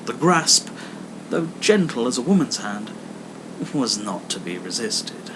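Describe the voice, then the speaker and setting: A man's voice: mid-range voice, very animated, measured pace. Man reading a storybook, noisy recording. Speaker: audiobook reader. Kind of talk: scripted storybook narration.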